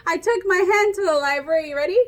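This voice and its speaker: A woman reading a picture book aloud like a teacher, pausing to explain and ask young children questions. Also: very high voice. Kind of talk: interactive children's read-aloud.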